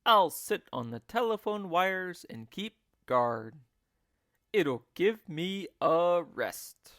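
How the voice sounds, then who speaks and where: Guy doing a chubby helpful bird from a kids book, excitable, swoopy pitch. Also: slow pace, no echo, studio quality, exaggerated voice. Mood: weary, willing. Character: excitable, helpful roly-poly bird from a storybook.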